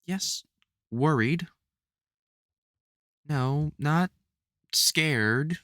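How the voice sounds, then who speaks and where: A man with a British accent playing a caring veteran vampire hunter in an audio roleplay, halting and sweary, exasperated but gentle. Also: fairly high pitch, no echo, clean studio sound, exaggerated voice. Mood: concerned, reassuring. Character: gruff, caring veteran male vampire hunter, protective and worried. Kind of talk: audio roleplay, speaking to an unheard listener.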